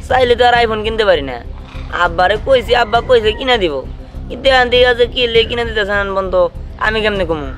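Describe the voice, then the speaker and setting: Guy doing a whiny stubborn cartoon kid, bangladeshi accent, high and fast, faint music behind. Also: a bit bright. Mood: defensive, pleading. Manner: pleading, demanding. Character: stubborn, whiny boy from a poor family. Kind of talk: cartoon comedy drama dialogue.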